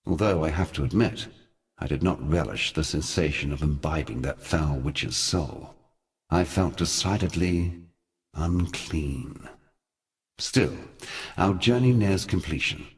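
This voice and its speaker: An actor playing an ancient, brooding god in a fantasy game, speaking in solemn, ornate and deliberate phrases like a theatrical monologue. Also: male voice, a bass voice. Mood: solemn, ominous. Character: ancient grave god, former lover of gods, brooding and eloquent.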